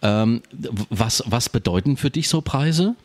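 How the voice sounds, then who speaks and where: A man's voice: mid-range voice, animated, a little slow, darkish tone. A man hosting a radio interview, friendly and affirming. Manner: friendly, affirming with short responses. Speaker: radio host. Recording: radio broadcast.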